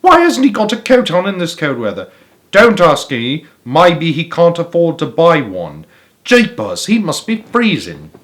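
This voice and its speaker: A man's voice: fairly high pitch, wildly swooping pitch, a little slow, a bit bright, pitched above the performer's own voice, full character voice. A male reader plays a nosy bystander in a storybook crowd. The voice is lifted and exclamatory, full of scandalised gossip. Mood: nosy wondering. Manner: exclamatory, gossipy back-and-forth. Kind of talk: storybook read-aloud character voice.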